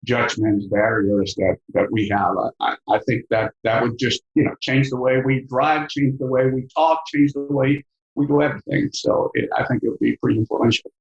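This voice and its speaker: A man's voice: mid-range voice, steady tone, normal speed, lo-fi sound. A middle-aged man with a Mexican accent, a professor in a podcast interview, speaking thoughtfully with many fillers and repeated words. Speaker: business school professor, guest. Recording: echoey room.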